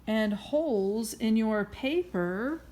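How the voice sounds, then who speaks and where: A woman's voice: a little high, animated, slow pace, a little mellow, a little hiss or hum. A woman hosting a children's story time, reading aloud expressively and encouragingly, with questions for her listeners. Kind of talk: interactive picture-book read-aloud.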